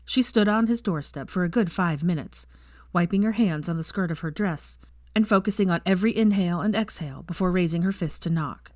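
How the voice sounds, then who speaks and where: A woman narrates a story in audiobook style. Her voice sits fairly low, and the sound is dry and close, with very little room in it. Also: fairly quick, darkish tone, dry recording. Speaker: fan fiction narrator.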